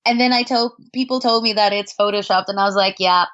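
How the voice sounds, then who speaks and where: A woman's voice: mid-range voice, fairly even intonation, fast talker, a bit bright, highs cut off. A woman telling travel stories as a podcast guest in a chatty, casual way, laughing often and restarting her sentences. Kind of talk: casual conversational storytelling.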